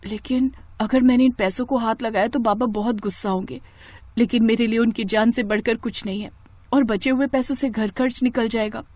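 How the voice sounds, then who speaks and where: A woman voicing a devoted, worried young daughter in an animated moral story, earnest and pleading. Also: a little high, brisk, dry recording, natural voice. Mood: anxious. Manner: pleading, emotional.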